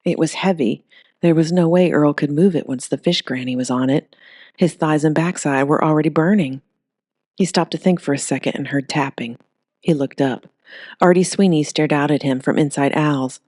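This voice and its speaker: A woman reads a creature story aloud in an even, steady voice. Her pitch sits low for a woman. The recording is close and dry, with no room sound. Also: normal speed, no room sound. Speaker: audiobook narrator. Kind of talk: scripted storybook narration.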